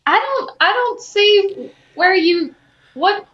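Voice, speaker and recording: A woman's voice: extremely high pitch, expressive, slow pace. A woman co-hosting a podcast in casual chat, on slightly lo-fi audio. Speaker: podcast co-host.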